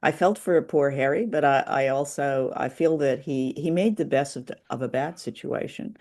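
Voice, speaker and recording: A woman hosting a casual podcast discussion, chatty and deliberate, pausing often as she talks. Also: very deep voice, a little mellow. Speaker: podcast host. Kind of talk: casual conversational discussion of old radio drama.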